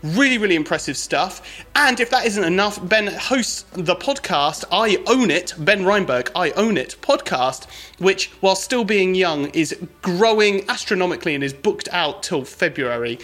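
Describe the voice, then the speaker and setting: A man with a British accent hosting a podcast, giving energetic, enthusiastic introductions. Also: sits high, moderate pace. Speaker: podcast host.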